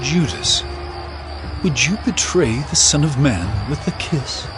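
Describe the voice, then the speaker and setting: Solemn commanding teacher, raised pitch, emphatic declamation, audio Bible drama, music behind. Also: male voice, lively intonation, slow pace. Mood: grave, firm. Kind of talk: dramatized scripture acting.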